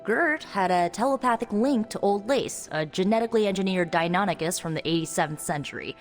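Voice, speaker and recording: A woman's voice: medium pitch, natural voice. A woman playing a young spellcaster hero in a video game, wry and guarded, talking in a conversational, hesitant way. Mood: fond, then guarded. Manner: wry, hesitant, halting asides. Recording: long reverb, music underneath.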